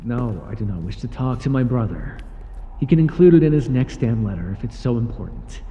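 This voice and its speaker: A man's voice: mid-range voice, expressive, fairly quick, mellow, husky, in a large hall. A man voicing an irritable male god who rules the underworld, speaking in a haughty, scolding way with dry sarcasm, as an audio roleplay. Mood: grumbling.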